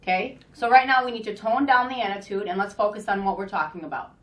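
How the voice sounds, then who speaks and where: Woman as no-nonsense school mediator, medium-pitched, instructive and questioning, in a student role-play. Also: fast talker, fairly bright tone, reverberant, close to an everyday voice. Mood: firm, lecturing. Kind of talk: amateur school dramatization.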